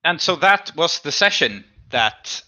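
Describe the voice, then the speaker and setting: Male game master, very bright sharp voice, halting conversational recap, slightly dull recording. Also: fairly high pitch, big pitch swings.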